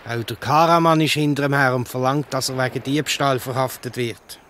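A man's voice: mid-range voice, fairly even intonation, a little fast, fairly bright tone, natural voice. A male actor plays a dutiful Swiss German policeman in a radio play. He is polite and official yet awkward and hesitant, with faint noise behind him. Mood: official, uneasy. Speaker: actor in an audio drama. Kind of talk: dialect radio play acting.

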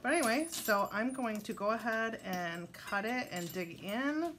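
A woman's voice: a little high, animated, a little slow, noisy background. A middle-aged woman with a Wisconsin accent, chatting casually while she cooks at home, rambling along with plenty of fillers. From Wisconsin, United States. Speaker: home cooking vlogger. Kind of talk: casual cook-along chat. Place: home kitchen and dining table.

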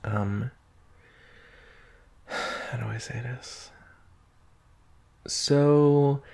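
A man's voice: lowish voice, gravelly, a little mellow, exaggerated voice. A man playing a nervous young werewolf student with a crush, speaking hesitantly and intimately in an ASMR roleplay. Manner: hesitant, bashful, halting, sighing.

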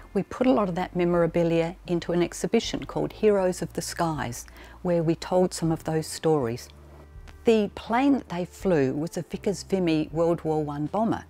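A woman's voice: on the low side, warm tone. A woman, a librarian presenting to camera in a prepared style. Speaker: librarian and exhibition curator presenting. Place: indoors in a large archive storage room among shelving.

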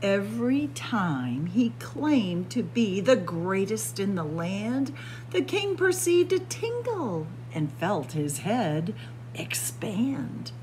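A woman reading a picture book aloud as a storyteller, in a theatrical, deliberate way. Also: medium pitch, sing-song, a little mellow, slight background noise, low-quality recording. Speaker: library storyteller. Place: indoor library room with bookshelves.

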